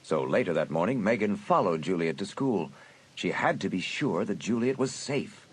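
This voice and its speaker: A man narrating a suspense audiobook in a dramatic, deliberate storytelling manner. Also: low-pitched, animated.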